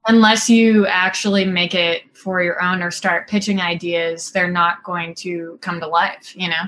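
A woman's voice: fairly low pitch, flat delivery, a little slow, a bit bright. A woman in her thirties from Singapore, a film director chatting casually as an interview guest, talking in long runs packed with "like" and "you know" and laughing easily.

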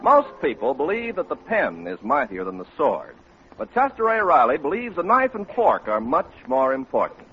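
A man's voice: high-pitched, wildly swooping pitch, normal speed, vocal fry, music in the background. A man announcing in 1940s radio style, declamatory and mock-heroic. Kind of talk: 1940s radio scripted narration. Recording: dull, noisy old radio recording.

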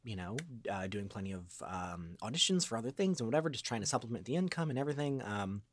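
A man's voice: on the low side, expressive, quick pace. A young man speaking as a guest voice actor in a casual interview, with a hesitant, rambling delivery.

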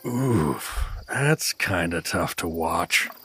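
An actor playing a good-natured, blundering adventurer in a fantasy audio drama, awkward, apologetic and expressive. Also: male voice, low voice, drawn-out words, loud music behind the voice, full character voice. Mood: blunt curiosity.

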